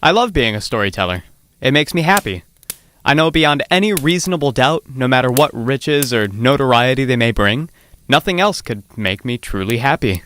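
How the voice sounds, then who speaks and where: A man's voice: mid-range voice, lively intonation, normal speed, a bit bright, slight background noise, natural voice. A man playing a sincere, contented storyteller and jester with an articulate, playful delivery in an audio drama.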